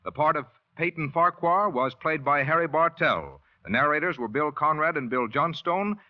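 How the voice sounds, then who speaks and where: A man announcing a vintage radio program in a formal, measured broadcast style. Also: on the high side, expressive. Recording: muffled vintage radio recording.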